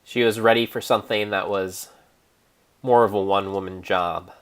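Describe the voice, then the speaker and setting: A man narrating an improvised story in a theatrical, measured way, pausing between phrases. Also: lowish voice, fairly even intonation. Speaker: streamer, narrator.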